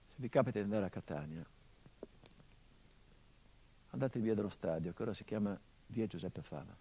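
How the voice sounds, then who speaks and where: A middle-aged actor playing the grieving son of a murdered journalist in a theatrical monologue, brooding and bitter, moving between hushed and impassioned delivery. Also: male voice, lowish voice, lively intonation, brisk, husky, rough recording. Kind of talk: theatrical monologue broadcast on radio.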